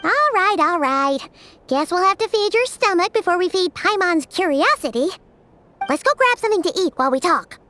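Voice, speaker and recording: A woman voicing a tiny, excitable floating companion who chatters about herself in the third person. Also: very high-pitched, normal speed, a little hiss or hum, full character voice. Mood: cheerful. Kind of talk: game cutscene voice acting.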